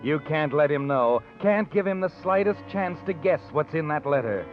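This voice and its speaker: A man's voice: on the high side, expressive, moderate pace, lightly acted. An actor playing a knowing, taunting narrator in 1940s radio suspense style, needling a guilty woman. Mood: taunting, ominous. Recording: dull old radio recording, music behind.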